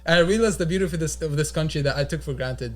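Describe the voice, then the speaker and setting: A young man raised in Qatar, an energetic, impulsive guest chatting casually on a podcast. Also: a little high. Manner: energetic, impulsive, quick talker. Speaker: podcast guest, agency owner.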